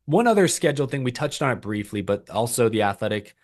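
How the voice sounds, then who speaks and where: A man's voice: medium pitch, big pitch swings, fast talker. A man co-hosting a racing podcast in casual chat, talking loosely with frequent laughs and restarts. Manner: chatty, laughs often, many restarts. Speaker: podcast co-host.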